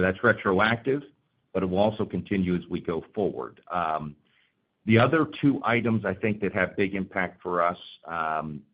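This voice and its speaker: Low-voiced male finance executive on phone. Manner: methodical, point-by-point explaining. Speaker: company finance executive on an earnings call. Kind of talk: unscripted investor Q&A answers. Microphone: conference phone call. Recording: narrow tinny phone line.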